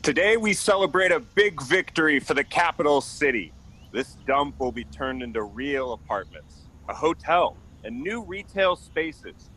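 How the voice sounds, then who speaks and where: A man's voice: on the high side, lively intonation, unhurried, sharp tone, full character voice. A man playing a boastful, showy real estate developer in an amateur radio play.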